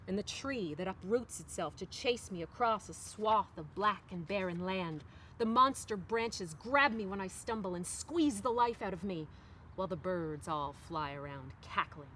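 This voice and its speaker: A middle-aged woman, an author, reading their own novel aloud in an expressive literary style. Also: on the low side, low-quality recording. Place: outdoors on a park bench among trees. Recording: faint outdoor background noise.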